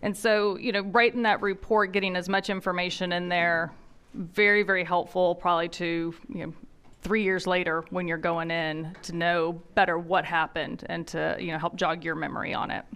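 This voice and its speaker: Co-presenting woman with a lowish voice. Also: level tone, moderate pace, a bit bright, clear, resonant tone. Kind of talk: classroom training. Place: large conference training room.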